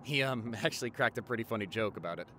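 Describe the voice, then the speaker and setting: A man's voice: fairly low pitch, animated, quick pace, bright, forceful tone. Man playing a tender boyfriend, car noise behind. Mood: fond, reminiscing. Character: tender, affectionate doctor boyfriend in his forties. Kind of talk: intimate boyfriend roleplay audio, conversational storytelling. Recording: car ride ambience behind the voice.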